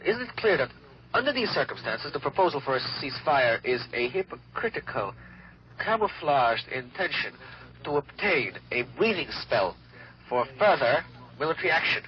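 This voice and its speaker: A man interpreting a speech live, halting as he searches for words. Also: on the high side, sing-song, slight background noise. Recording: muffled archival radio recording.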